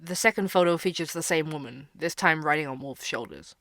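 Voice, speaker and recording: An actor playing a young barista who hosts a podcast, narrating in an earnest, wry way. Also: male voice, a little high, brisk, pushed and bright, dry recording, clean studio sound.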